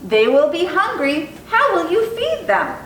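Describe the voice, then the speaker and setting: A woman reading the part of a patient mother in a children's storybook, gently questioning in a singsong, drawn-out way. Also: big pitch swings, full character voice. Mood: questioning, concerned. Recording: echoey room, distant mic, faint rumbling noise.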